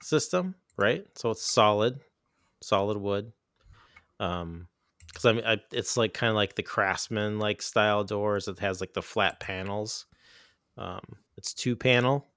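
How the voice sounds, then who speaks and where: A man on a casual podcast chat, laid-back and trailing off quietly at the ends of his phrases. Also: fairly low pitch, a little slow, no room sound, clean studio sound. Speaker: podcast co-host.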